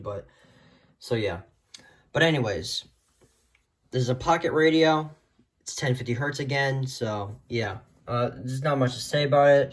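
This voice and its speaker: A man casually presenting a collection in a hesitant, rambling way that often trails off. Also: medium pitch. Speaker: video creator showing a radio collection. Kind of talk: casual collection showcase chat.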